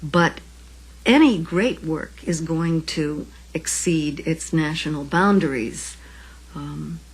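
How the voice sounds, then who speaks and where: A woman with a low, husky voice offers reflective commentary in an interview. She speaks in a measured, scholarly manner, as a literary commentator would. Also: low-quality recording. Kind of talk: thoughtful documentary interview commentary.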